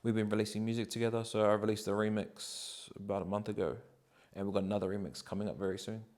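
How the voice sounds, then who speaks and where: A man, answering interview questions in a relaxed, rambling way with many ums and likes. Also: fairly low pitch, fast. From New Zealand. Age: 30s.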